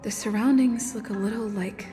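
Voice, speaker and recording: An actress playing a bewildered young video game heroine, hesitantly musing to herself. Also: female voice, medium pitch, fairly even intonation, moderate pace, over music, lightly acted. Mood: disoriented. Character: bewildered young heroine waking in a strange dream. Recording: long reverb on the voice.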